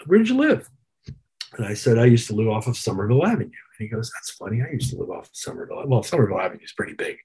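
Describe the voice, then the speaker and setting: A man's voice: on the low side, sing-song, very fast, creaky, mellow, a little hiss or hum. A middle-aged or older man, a musician being interviewed, telling detailed, reflective anecdotes in a casual way and acting out bits of remembered dialogue. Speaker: musician and producer, interview guest.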